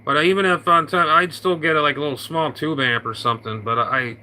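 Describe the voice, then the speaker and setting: A man's voice: fairly high pitch, a little fast, sharp tone, rough recording. A man in casual on-air radio chat, rambling with many fillers and restarts. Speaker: radio operator.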